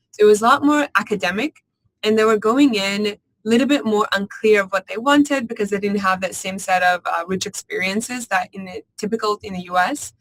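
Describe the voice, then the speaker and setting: A woman from Georgia answering on a student panel in a lively, casual way. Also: mid-range voice, no room sound, poor audio. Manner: lively, laughs easily.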